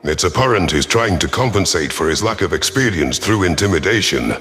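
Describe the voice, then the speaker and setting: A man's voice: low-pitched, lively intonation, a little fast, fairly bright tone, breathy edge. A man giving dramatic, scripted first-person narration of a science fiction audio story. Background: faint music.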